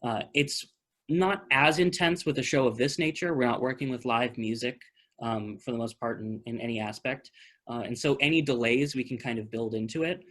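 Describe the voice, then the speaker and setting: A man's voice: medium pitch, moderate pace, fairly bright tone. A man hosting a post-show theater talkback over a video call, speaking conversationally and thinking aloud as he fields questions. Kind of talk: casual question-and-answer hosting.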